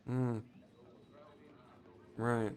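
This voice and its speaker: A man streaming a video game, explaining things in a casual, rambling, unhurried way. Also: mid-range voice, level tone, a little mellow. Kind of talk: casual gameplay commentary. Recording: faint background noise.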